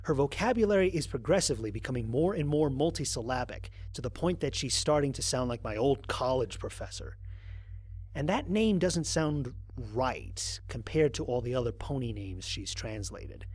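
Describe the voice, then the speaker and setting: A man's voice: mid-range voice, lively intonation, moderate pace, a little mellow, slightly rough, no room sound. A man playing a weary human aerospace pilot recording a mission log for an audio drama, in a dry, grumbling, conversational way. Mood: wry, bemused. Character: weary, wry male human aerospace pilot on an alien world.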